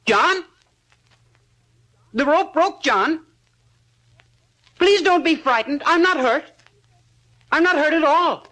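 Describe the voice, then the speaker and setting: An actor playing a trusting, excitable young lad in a 1950s radio drama, earnest and naive. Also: male voice, very high voice, slow pace, pauses often, full character voice. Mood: excited, reassuring. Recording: noisy vintage radio recording with clicks.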